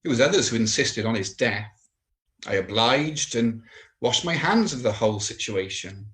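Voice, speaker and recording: A man's voice: medium pitch, expressive, moderate pace, fairly bright tone, close to an everyday voice. A man playing a contemptuous, haunted Roman official in a dramatic monologue, speaking with emphatic, rhetorical scorn. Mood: scornful, proud. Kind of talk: dramatic monologue in a church service.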